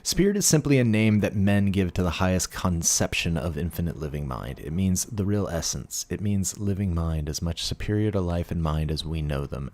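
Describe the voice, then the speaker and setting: Male reader, deep register, dry sound. Expressive, emphatic delivery of dense philosophical prose. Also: no room sound. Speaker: audiobook reader. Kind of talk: expressive read-aloud of a philosophical text.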